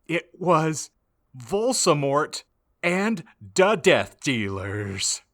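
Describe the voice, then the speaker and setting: A man's voice: a little high, sing-song, very slow, a bit bright. A man reading a story aloud as a podcast host, theatrical and mock-dramatic with heavy emphasis. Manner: theatrical, heavily emphatic, swinging in volume. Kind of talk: mock-dramatic comedic reading of fan fiction.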